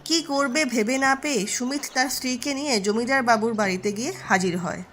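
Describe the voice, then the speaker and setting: A woman's voice: a little high, a little fast, a bit bright, a little hiss or hum. A woman giving scripted storytelling narration as the narrator of a moral tale.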